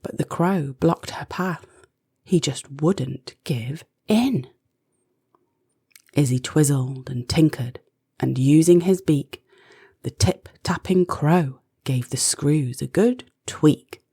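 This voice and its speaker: A woman reads a children's story in verse, slowly and with dramatic phrasing. Her voice is on the low side and breathy, and it moves expressively up and down. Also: dark tone, no room sound, studio quality. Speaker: children's audiobook narrator. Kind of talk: expressive rhyming storybook narration.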